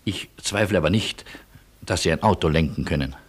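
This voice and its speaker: An actor in a German radio play playing a courteous, wry refugee man, husky, speaking in polite, ironic and wordy turns. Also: low-pitched, brisk. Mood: politely ironic.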